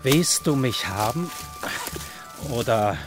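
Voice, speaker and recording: An actor playing a folksy woodcutter in a radio drama, musing and deliberate, with long pauses. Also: male voice, medium pitch, animated, music in the background. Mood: musing, sly. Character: slow, folksy woodcutter workmate with a sly idea.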